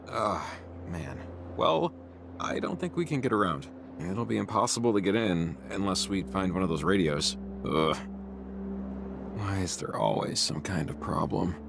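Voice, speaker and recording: Anxious local guy, halting, exasperated, lowish pitch, very animated intonation, video game drama. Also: creaky, background noise, heavily acted. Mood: nervous, exasperated. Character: anxious, hesitant, talkative local man named Jacob. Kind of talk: naturalistic video game dialogue.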